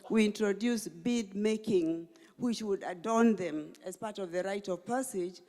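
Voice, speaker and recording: A woman's voice: mid-range voice, unhurried, warm tone. A woman speaking as a panelist in an earnest way, with hesitant fillers. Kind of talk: panel discussion. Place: large conference hall stage. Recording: long hall reverb.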